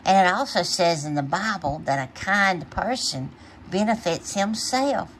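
Storybook father bear voiced by a woman: gentle, wise, measured, teacherly, faint noise. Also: fairly low pitch, animated, low-quality recording. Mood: wise, reassuring.

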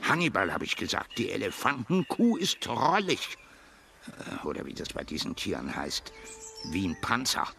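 An older actor playing a gruff old soldier who mutters to himself in clipped military fragments in a radio play. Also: male voice, lowish voice, huge pitch swings, normal speed, heavily acted. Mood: grumbling, musing. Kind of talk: German radio play acting.

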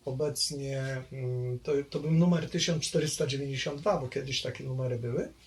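An older man recalling his family history in a halting way, full of pauses and hesitations. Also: mid-range voice, slight background noise. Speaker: interviewee, longtime local resident. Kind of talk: oral history recollection.

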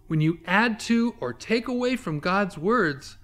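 Animated man preaching, higher-pitched voice. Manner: emphatic, dramatic pauses for effect. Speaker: Bible teacher, presenter. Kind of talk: preaching to camera, explanatory.

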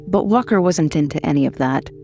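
A woman's voice: low-pitched, lively intonation, brisk, warm tone. A woman narrating scripted horror fiction in a dramatic, wry storytelling manner. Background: faint music.

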